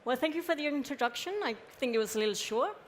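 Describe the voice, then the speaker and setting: A woman's voice: high-pitched, lively intonation, fast, a little hiss or hum. A woman interviewing a guest on a conference stage, asking questions in a friendly, curious way.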